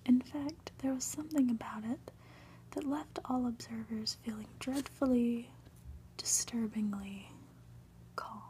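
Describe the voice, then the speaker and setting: A woman narrating a storybook in a breathy ASMR whisper, reading gently and deliberately. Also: on the high side, fairly even intonation. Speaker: ASMR reader. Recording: faint background noise.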